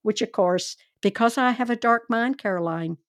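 A woman hosting a true crime podcast, telling the case in a chatty, animated, wry way. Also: a little high, normal speed, dry recording, studio quality. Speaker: podcast host.

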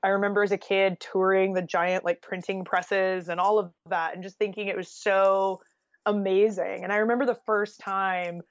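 A woman's voice: medium pitch, fairly even intonation, normal speed, no echo, lo-fi sound. An American woman telling stories casually as an interview guest, chatty and sprinkled with "like". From Oregon, United States.

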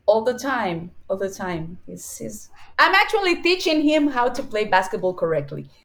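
A woman. She is a cheerful podcast guest, and she answers casually at a medium pitch. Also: big pitch swings, normal speed, creaky, fairly bright tone. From Costa Rica. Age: 40s. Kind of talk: casual interview chat.

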